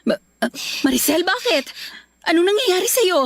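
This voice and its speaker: A woman's voice: extremely high pitch, very animated, moderate pace, no echo, studio quality, full character voice. An actress playing a caring, tender mother of a young girl in a Filipino radio drama. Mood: alarmed, panicked.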